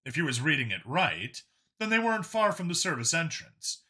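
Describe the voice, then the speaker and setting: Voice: medium pitch, very animated, moderate pace, sharp tone, slightly rough. A narrator reading a scripted science fiction story as an audiobook.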